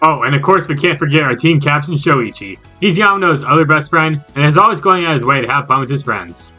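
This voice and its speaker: A man's voice: on the high side, lively intonation, fairly quick, sharp tone. A man narrating a scripted video game review as host, with jokey asides. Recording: music under the voice. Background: background music.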